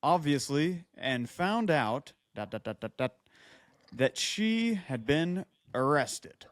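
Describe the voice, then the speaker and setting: A man with a fairly high voice co-hosts a chatty comedy podcast. He reads things aloud and keeps stepping out of the text with quips and side remarks, in a very dry, echo-free sound. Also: very animated, takes it slowly. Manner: interrupts his own reading with quips and asides. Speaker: podcast co-host. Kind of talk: casual comedic banter and reading listener letters aloud.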